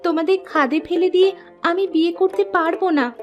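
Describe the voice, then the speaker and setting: A woman's voice: very high voice, normal speed, highs cut off, soft background music. A woman voicing a caring, fussing village woman in a moral story cartoon.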